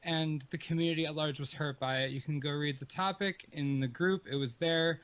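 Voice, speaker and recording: A man's voice: fairly high pitch, fairly even intonation, a little fast, fairly bright tone, smooth. A man arguing informally in a firm, emphatic, accusatory way. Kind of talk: informal argument.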